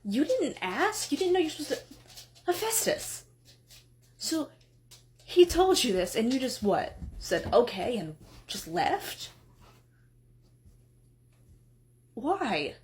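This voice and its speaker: A woman voicing an exasperated goddess who scolds her husband, swinging between sharp outbursts and weary pleading. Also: sits high, very animated, takes it slowly, a little hiss or hum, exaggerated voice. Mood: incredulous. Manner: scolding, then pleading and tearful. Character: exasperated, emotional goddess wife.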